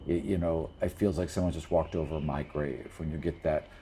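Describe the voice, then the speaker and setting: A man's voice: extremely low pitch, fairly even intonation, fairly quick, mellow, a little hiss or hum. A man working as game master, narrating a tabletop scene off the cuff in a conversational, descriptive way with rambling asides.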